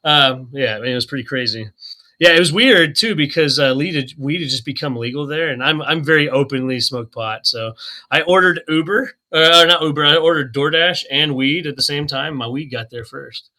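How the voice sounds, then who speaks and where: A man from Oklahoma chatting casually on a group call, telling animated stories and laughing often. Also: a little high, normal speed. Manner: animated storyteller, laughs often, acts out quotes. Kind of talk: casual chat.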